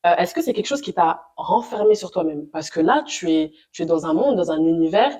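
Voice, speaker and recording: A woman's voice: fairly low pitch, lively intonation, fairly quick, mellow. A woman interviewing a guest, encouraging and often summing up what she hears. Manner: encouraging, rephrases and sums up. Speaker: interviewer, host.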